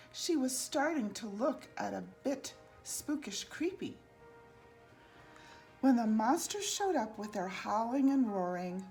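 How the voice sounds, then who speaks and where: A woman reading a rhyming children's picture book aloud in an expressive, singsong, deliberate way. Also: on the high side. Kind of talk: read-aloud children's storytelling in rhyme.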